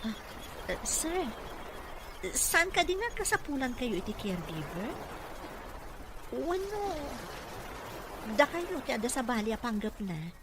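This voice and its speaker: A woman's voice: high-pitched, very animated, unhurried, pauses often. Humble young mother, polite, noisy recording woman acting. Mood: polite, earnest. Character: humble young single mother seeking caregiver work. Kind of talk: radio drama acting.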